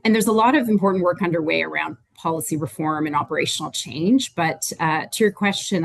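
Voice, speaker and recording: Gravelly-voiced woman explaining research findings. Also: deep voice, no echo. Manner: earnest, explanatory, with frequent restarts and fillers. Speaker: report author and panelist. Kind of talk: answering a moderator on a webinar panel.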